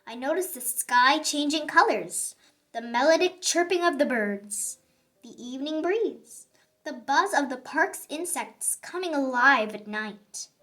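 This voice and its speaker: Woman as little sour grape, storybook narrator: high, bright, theatrical, grudge-prone but repentant. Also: animated, faint music behind. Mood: calm, wistful. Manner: theatrical, exclaiming, drawn-out emphasis on words. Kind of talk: children's picture book read-aloud.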